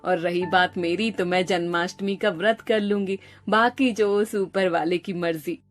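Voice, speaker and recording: A woman voicing a patient, devout poor village mother, gentle and earnest, in a moral story. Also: on the low side, fairly even intonation, normal speed, faint music behind, lightly acted. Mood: gentle, reassuring.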